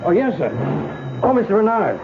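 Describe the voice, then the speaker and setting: An actor playing a chatty, obliging small-town service station mechanic in an old-time radio drama, folksy and eager in manner. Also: male voice, sits high, sing-song, normal speed, over music. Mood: helpful. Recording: phone-like vintage radio recording, faint noise.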